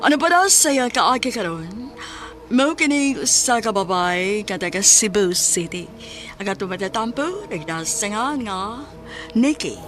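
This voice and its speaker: Slow-paced Cebuano woman radio host. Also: mid-range voice, lively intonation, over music. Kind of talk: scripted radio hosting.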